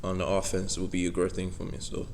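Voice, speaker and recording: A young man with a Nigerian accent answering press conference questions in a soft-spoken way, filling pauses with "you know". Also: low voice, monotone, a little hiss or hum. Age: young adult. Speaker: college football player.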